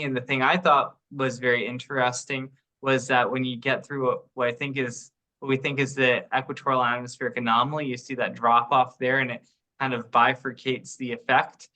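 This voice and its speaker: A man presenting technical research informally on a teleconference, explaining haltingly as he thinks aloud. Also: mid-range voice, bright, forceful tone, low-quality recording. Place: online teleconference.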